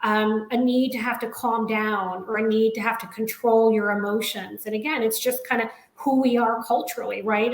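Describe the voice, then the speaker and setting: A woman human resources executive speaks on a panel with a slightly raised pitch, and her voice carries a roomy echo. She talks in a measured way and checks in with "right?" after her points. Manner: measured, frequent "right?" tags. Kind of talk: panel discussion.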